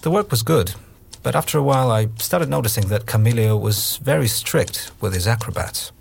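A man's voice: medium pitch, moderate pace, vocal fry, a little hiss or hum, lightly acted. An actor playing a male journalist turned circus troupe manager, recounting his past in an audio play monologue. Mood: reflective recounting. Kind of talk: dramatised first-person monologue in an audio play.

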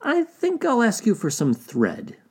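A storyteller voicing a quiet, unbothered male tortoise with a plain-spoken manner. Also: medium pitch, wildly swooping pitch, unhurried, slightly rough, pitched above the performer's own voice, full character voice. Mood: confiding.

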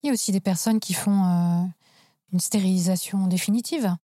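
A woman's voice: mid-range voice, brisk, mellow, dry recording, studio quality. A woman hosting a conversational interview, putting questions and comments to her guest. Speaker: host, interviewer.

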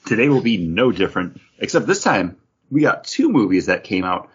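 Low-voiced man with a lively swing. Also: moderate pace, husky, dull audio. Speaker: podcast host. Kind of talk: casual panel chat about beer and geek news.

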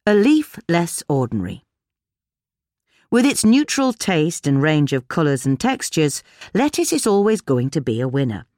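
British woman reading scripted narration, low voice, very dry close sound. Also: big pitch swings, normal speed, darkish tone, dry recording. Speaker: narrator reading a gardening article.

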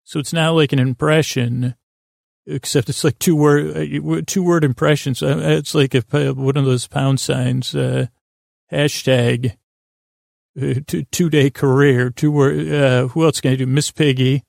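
Super creaky guy doing a rambly sleep podcast, keeps wandering off and restarting, dry close sound. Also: medium pitch, fairly even intonation, darkish tone, no echo. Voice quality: creaky, gravelly. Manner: meandering, halting, self-interrupting. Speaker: podcast host.

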